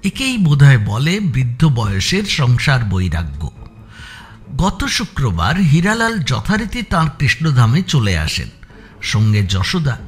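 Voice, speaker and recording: Lively male narrator of a suspense story. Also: medium pitch, a little slow.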